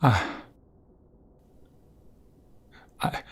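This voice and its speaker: A man playing a tender, excitable husband and father-to-be in an intimate ASMR audio roleplay, halting and emotional, often dropping toward a whisper. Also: natural voice. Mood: overjoyed, amazed. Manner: halting, emotional, repeats himself. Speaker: voice-over roleplay performer.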